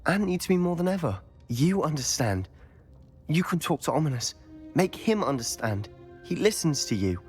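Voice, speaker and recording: A man's voice: on the high side, very animated, normal speed, darkish tone. A man playing an anguished, impulsive teenage schoolboy wizard in a dramatic video game scene, speaking emotionally and pleadingly, with music behind. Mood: distraught, pleading.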